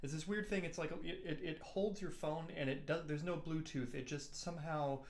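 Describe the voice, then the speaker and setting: A man co-hosting an online session, explaining something in a rambling, halting way and repeating words. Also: fairly high pitch, fairly even intonation. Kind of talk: casual chat. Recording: echoey room.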